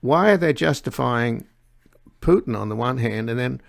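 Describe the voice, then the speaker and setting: A man hosting a political interview in a gravelly, creaky voice, putting long, halting, opinionated questions. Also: medium pitch, lively intonation, no echo, clean studio sound. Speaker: interview host.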